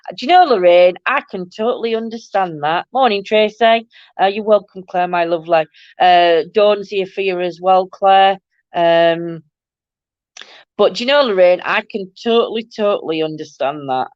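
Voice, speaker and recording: Middle-aged woman, livestream host, croaky nasal voice, lowish pitch, dry and slightly dull sound. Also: a little mellow, no room sound. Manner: rambling, affectionate, calls viewers "my lovely". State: croaky, nasal from hay fever. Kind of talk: casual live-stream chat with viewers.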